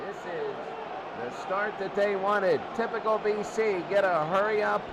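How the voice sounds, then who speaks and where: A man's voice: high-pitched, a little slow. An American man doing play-by-play football commentary as the announcer. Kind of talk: sports commentary. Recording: very noisy amateur broadcast. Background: loud ambient noise.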